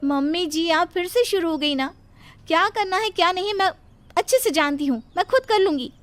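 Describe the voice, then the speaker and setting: A woman as a high-pitched, headstrong young daughter-in-law. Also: lively intonation, normal speed, fairly bright tone, no echo. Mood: confident. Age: young adult. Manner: dismissive, confident, insistent. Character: headstrong, self-assured pregnant young nurse, breezy daughter-in-law. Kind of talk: cartoon moral story voice acting.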